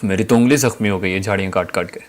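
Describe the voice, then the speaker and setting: A man's voice: sits low, rapid-fire, background noise, close to an everyday voice. A man playing a clever, self-assured young university student in an audio drama.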